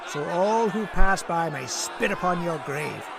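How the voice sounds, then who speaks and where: An actor playing a harsh hangman who shouts orders over an execution crowd. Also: sits high, animated, unhurried, vocal fry, heavy background noise, heavily acted. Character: gruff male hangman barking at a crowd.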